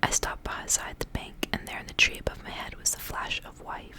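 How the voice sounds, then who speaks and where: A woman reading a story aloud in a hushed ASMR whisper from start to end. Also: a little fast. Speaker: ASMR reader.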